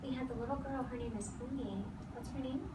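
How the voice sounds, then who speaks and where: A high-pitched woman speaks as an elementary teacher, heard from across a noisy room. She gives firm, short instructions and repeats key phrases on purpose as she leads a read-aloud for small children. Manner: firm, directive, with short commands; deliberate repetition of key phrases. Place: classroom full of seated children. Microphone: distant camera across the room. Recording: echoey, noisy classroom recorded from far off.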